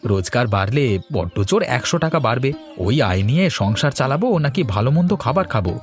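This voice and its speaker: Guy doing a humble cartoon villager, talks quick, earnest, bit of music behind. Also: medium pitch, big pitch swings, close to an everyday voice. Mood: humble, complaining. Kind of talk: Bengali cartoon dialogue.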